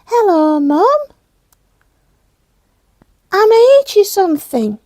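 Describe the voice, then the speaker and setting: A woman's voice: very high-pitched, sing-song, slow pace, a little mellow, no room sound, clean studio sound, full character voice. A woman voicing a naive, eager young child puppet with innocent exclamations in a children's comedy show.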